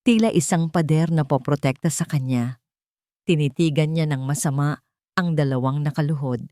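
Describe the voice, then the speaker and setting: A woman's voice: sits low, normal speed, dark tone, dry recording, studio quality. A Filipino woman reading a scripted dramatic story as its narrator.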